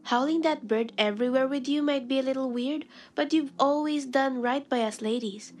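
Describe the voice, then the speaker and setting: Jaded, sassy barfly informant, played by a woman, slightly high voice, deadpan comic audio drama. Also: normal speed, lightly acted. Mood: sincere. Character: streetwise, deadpan female lounge regular, world-weary informant. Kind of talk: comedic audio drama acting.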